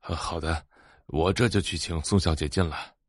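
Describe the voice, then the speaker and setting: Man as deferential butler, audio drama, very deep, husky, polite, subdued. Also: fairly even intonation, normal speed, no echo, clean studio sound. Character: deferential household butler of a rich family.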